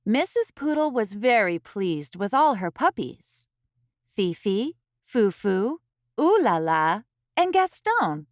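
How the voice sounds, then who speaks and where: A woman host reading a picture book aloud to young children, expressively, with careful phrasing and dramatic pauses. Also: medium pitch, big pitch swings, dry recording, clean studio sound.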